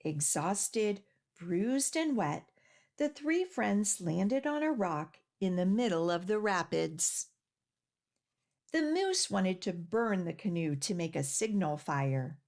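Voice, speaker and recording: Slow-paced, expressive woman reading to children. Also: mid-range voice. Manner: clear, deliberate phrasing aimed at young children. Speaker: children's library storytime host. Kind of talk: read-aloud storytelling and action rhymes for young children.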